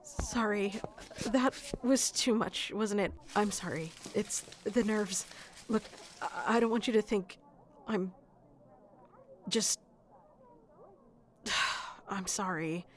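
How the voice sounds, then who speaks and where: A woman's voice: mid-range voice, slow, pauses often, a little hiss or hum, natural voice. A woman playing a shy, sweet, lovestruck florist in a romantic ASMR roleplay, speaking hesitantly with nervous stammers. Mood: nervous, apologetic.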